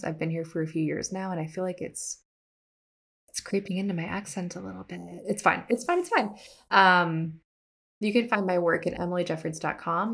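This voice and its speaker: A woman's voice: lowish voice, fairly bright tone. A woman hosting an art business podcast, talking casually to listeners with self-deprecating humor and upbeat emphasis. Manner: chatty, laughs at herself, upbeat emphasis. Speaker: podcast host and art educator. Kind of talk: casual teaching monologue.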